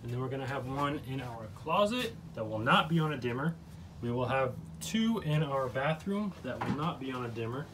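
A man's voice: mid-range voice, animated, moderate pace, background noise. Young man explaining his bus wiring. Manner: plain, practical explaining. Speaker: co-host of a van build vlog. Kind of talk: casual explaining and chat. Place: bus interior under construction.

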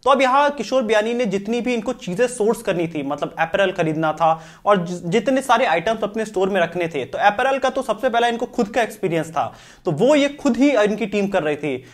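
A young man hosting a business explainer, narrating in a steady, scripted way and talking with his hands, recorded on a lapel mic in a studio. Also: high voice, a little fast.

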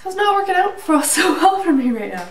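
A woman's voice: very high-pitched, big pitch swings, fast talker. Congested, nasal woman in a roomy room. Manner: chatty, with fillers and small laughs. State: a little out of breath. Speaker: beauty vlogger. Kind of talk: casual product review to camera. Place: small indoor room, plain wall. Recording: roomy, slightly distant with faint hiss.

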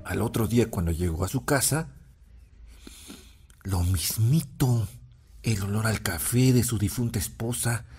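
An actor playing a fatherly storyteller with a husky voice and Mexican accent, narrating a legend unhurriedly in an audio drama. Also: on the low side, unhurried, long pauses, darkish tone, soft background music, heavily acted. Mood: wistful, unhurried.

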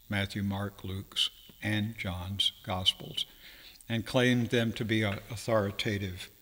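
An older man in his seventies delivers a teaching sermon at a slow, halting pace. His voice is bright and firm, carrying through a church with long reverb. He often pauses in mid-sentence as he explains. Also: lowish voice. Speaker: preacher. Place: church sanctuary, speaking from a pulpit. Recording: reverberant hall, long echo.

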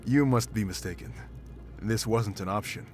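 A man's voice: fairly low pitch, moderate pace, darkish tone, soft background music, close to an everyday voice. Stern protective wolf warrior, voiced by a man. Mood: stern, insistent. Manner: firm, commanding. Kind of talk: video game voice acting.

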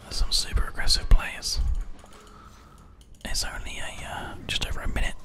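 A person whispering ASMR commentary over video gameplay, close to a binaural microphone. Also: normal speed. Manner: whispering throughout. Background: keyboard typing and mouse clicks.

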